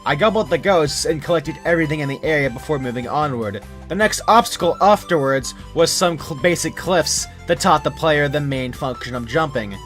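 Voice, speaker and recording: Man narrating over soft music. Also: a little high, moderate pace, hardly any pauses. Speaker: narrator, creepypasta reader. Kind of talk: scripted story narration.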